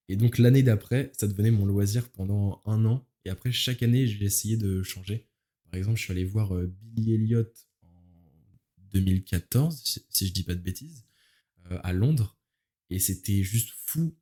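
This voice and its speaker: A man's voice: fairly low pitch, dark tone. A nineteen-year-old man, chatting casually as an interview guest in youthful slang, searching for his words. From Paris, France. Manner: informal, youthful slang, hesitant searching phrasing. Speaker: interview guest, musical theatre performer.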